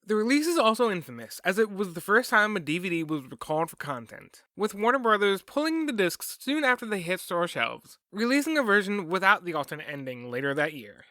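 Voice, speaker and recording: A man's voice: sits high, very animated, a little fast, few pauses, pushed and bright, no room sound, studio quality. A man reading scripted documentary narration as a podcast host.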